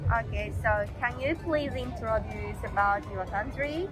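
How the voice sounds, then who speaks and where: A woman's voice: fairly high pitch, unhurried, over loud music. Japanese woman hosting a casual interview. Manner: short friendly prompts and questions. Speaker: host.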